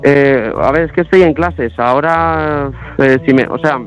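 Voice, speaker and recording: A man's voice: fairly high pitch, unhurried, faint music behind. A young man with an accent from Spain on a phone line, speaking nervously and hesitantly, trying to placate. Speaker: prank call victim.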